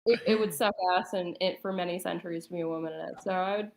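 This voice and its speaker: A woman's voice: fairly low pitch, steady tone, fast, gravelly, crowd noise. A woman guest chatting casually in an interview, thinking aloud a little hesitantly, with plenty of "like" along the way. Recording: echoey, roomy sound.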